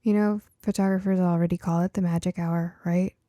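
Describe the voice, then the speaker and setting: A woman voicing a cheerful, caring mother in an audiobook story, gently teasing and reassuring. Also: on the low side, flat delivery, a little fast, dry recording, clean studio sound, natural voice. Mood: fond, teasing. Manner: chuckling, reassuring, coaxing.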